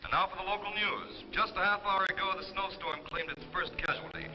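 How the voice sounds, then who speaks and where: A man announcing in formal, measured, scripted 1950s broadcast style. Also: sits high. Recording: muffled 1950s TV soundtrack, music behind.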